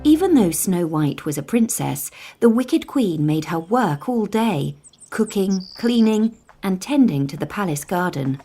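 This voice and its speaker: Expressive, slow-paced woman storyteller. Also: mid-range voice, slightly rough. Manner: deliberate, dramatic phrasing. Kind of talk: scripted fairytale narration for young children. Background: music.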